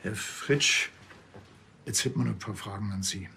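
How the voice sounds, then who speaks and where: An actor playing a dry, tactless small-town policeman in a TV crime comedy, deadpan and laconic, with a Bavarian accent. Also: fairly low pitch, normal speed, vocal fry, a little hiss or hum. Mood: dry, blunt.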